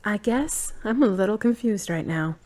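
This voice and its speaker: A woman playing a wry, thoughtful grown daughter in an audio drama, measured and dry. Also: medium pitch, animated, a little mellow, natural voice. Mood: guarded, unsure. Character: wry, guarded adult daughter, thoughtful and independent.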